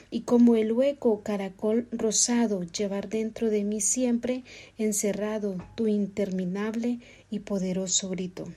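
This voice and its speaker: A woman's voice: fairly high pitch, mellow, slightly rough. A woman introducing herself and reciting a poem expressively. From Honduras. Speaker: poetry reader. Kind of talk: poetry recital.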